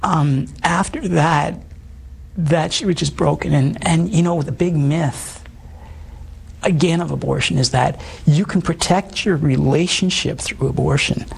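A man around fifty with a hoarse voice, telling his life story as an interview guest in an earnest, halting way. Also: on the high side. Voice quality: hoarse, gravelly. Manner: halting, earnest, many ums and restarts. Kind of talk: personal testimony in an interview. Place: Ottawa, Canada.

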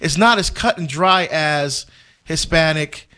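Animated Barbados-born deacon, higher voice. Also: male voice, measured pace, clear, resonant tone. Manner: animated storyteller, many fillers and restarts, frequent laughs. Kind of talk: casual conversational chat.

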